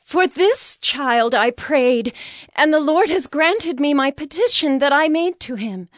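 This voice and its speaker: An actress playing a devout, grateful biblical mother in a dramatized scripture reading, speaking in a solemn, fervent, measured way. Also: sits high, lively intonation, no echo, studio quality. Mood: reverent, grateful.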